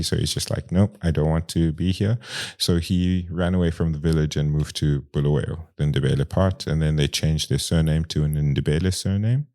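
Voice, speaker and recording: A man's voice: sits low, dark tone. A young Zimbabwean man with a Zimbabwean accent, a guest in a casual conversation, talking in a rambling way with many fillers and restarts.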